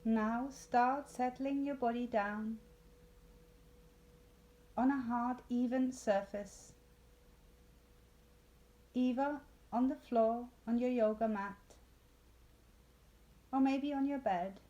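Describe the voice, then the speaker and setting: A woman leading a guided yoga nidra meditation in a soothing, unhurried way with long pauses. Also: on the high side, dark tone.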